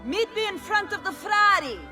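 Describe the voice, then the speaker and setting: Young female game thief: Italian accent, brisk teasing mentor, animated pitch, music bed. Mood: teasing, instructive. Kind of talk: video game cutscene acting. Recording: loud music behind.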